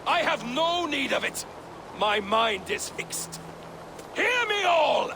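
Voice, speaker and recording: A very high-voiced Viking warrior, full of bitterness and pride. He declaims slowly, taunting and scorning like a challenger in a video game drama. Loud ambient noise runs behind the voice. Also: very animated, full character voice. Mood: angry challenge. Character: proud, bitter Viking warrior challenging his clan leader.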